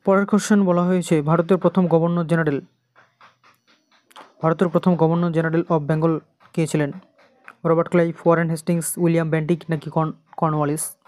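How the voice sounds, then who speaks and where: Even-toned Indian Bengali exam coaching teacher. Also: medium pitch, a little fast, long pauses. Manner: methodical, repeats questions and answers. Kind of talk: reading quiz questions and answers for an exam lesson.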